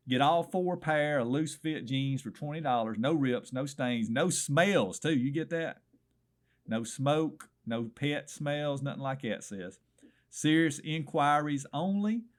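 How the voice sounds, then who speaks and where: A hoarse middle-aged man with a thick Southern American drawl rattles off items like a small-town radio swap shop host. He is folksy and wisecracking and keeps calling the listener "brother." His voice sits at an ordinary pitch for a man.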